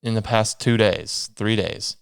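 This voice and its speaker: A man's voice: on the low side, a little slow, gravelly, clean tone, no echo, clean studio sound. A young man hosting a solo podcast, rambling casually with a sniffly, stuffy nose. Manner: rambling, self-deprecating, meandering restarts. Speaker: podcast host.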